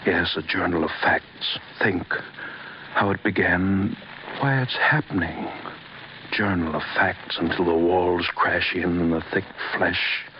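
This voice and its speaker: An actor playing a brooding, despairing chemist in a halting inner monologue from an old-time radio drama. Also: male voice, sits low. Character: brooding, despairing male chemist recalling his folly. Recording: noisy, muffled old radio recording.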